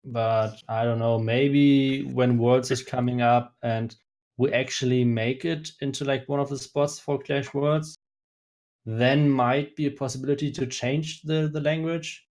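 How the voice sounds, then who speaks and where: A man with a German accent, a native German speaker, explaining his choices in a calm, measured, step-by-step way in casual chat. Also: mid-range voice. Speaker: guest player.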